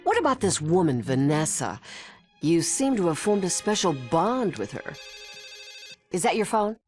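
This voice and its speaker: A vain female bee hosting a cartoon talk show. Her pitch swings wildly as she plays the demanding broadcast interviewer, with faint music behind. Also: sing-song, measured pace. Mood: smooth, prying.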